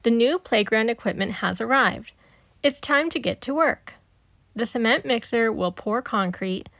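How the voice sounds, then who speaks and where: A woman narrates a children's storybook in a high voice with expressive, emphatic delivery. She takes her time over the words, and the sound is dry and close. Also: a little slow, dry recording. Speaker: storybook reader. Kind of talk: expressive read-aloud narration for young children.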